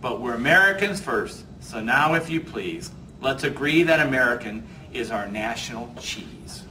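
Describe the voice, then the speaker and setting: Man reading as a bright-voiced wise old senator mouse. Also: medium pitch, lively intonation, normal speed. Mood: stately, persuasive. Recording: distant mic, faint background noise.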